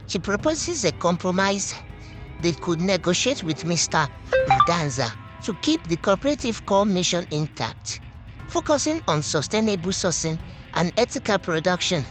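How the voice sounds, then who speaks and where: Low-pitched woman narrating a story, lively intonation. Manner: halting reading with stumbles and repeated words. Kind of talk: scripted story narration, read aloud.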